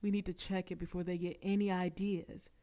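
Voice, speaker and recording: A woman's voice: fairly low pitch, little pitch movement, a little fast, mellow. A woman voicing a bold, flirtatious female mafia boss in an audio roleplay. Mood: focused. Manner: teasing, flirtatious. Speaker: voice actress.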